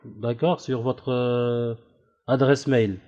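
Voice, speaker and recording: A man with a mellow, relaxed voice speaks in a dull lo-fi call recording. He explains things casually but haltingly, feeling his way through each sentence. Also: mid-range voice. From Italy. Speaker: debate room host. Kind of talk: casual phone conversation.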